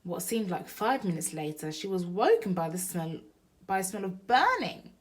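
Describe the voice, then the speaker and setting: A woman's voice: lowish voice, very animated, moderate pace. A woman storyteller reading a picture book aloud expressively for young children.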